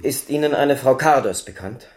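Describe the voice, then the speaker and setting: An actor playing a businesslike East German police lieutenant in a radio crime drama, asking clipped, matter-of-fact questions. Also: male voice, medium pitch, moderate pace, a little mellow, close to an everyday voice. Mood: probing.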